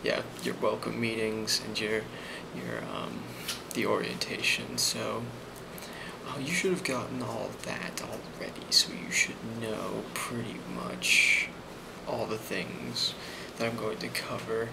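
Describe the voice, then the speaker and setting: A man playing a friendly, rambling office boss in an ASMR role play, speaking softly and hesitantly with a reassuring manner and a creaky voice. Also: fairly low pitch, steady tone, slow pace, full character voice. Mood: calm, casual. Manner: soft-spoken, hesitant, reassuring. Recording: loud constant background noise.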